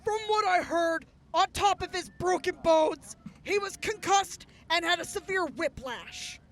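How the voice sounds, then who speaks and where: A woman acting in an audio drama as a composed, courteous officer, speaking formally and in measured phrases. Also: extremely high pitch. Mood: measured, serious.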